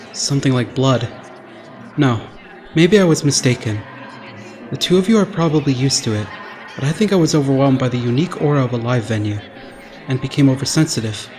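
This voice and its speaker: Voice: medium pitch, darkish tone, natural voice. A performer voicing an earnest, naive young male idol in an anime game fan dub, speaking in a musing way.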